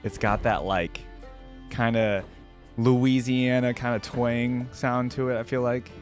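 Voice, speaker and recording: A man's voice: mid-range voice, little pitch movement, takes it slowly, soft background music. A man hosting a reaction video with casual, chatty commentary.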